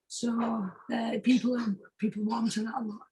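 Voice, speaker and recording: Husky British woman, higher-pitched voice. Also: little pitch movement, moderate pace, dark tone, slight background noise, poor audio. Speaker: singer-songwriter guest. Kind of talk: casual interview chat.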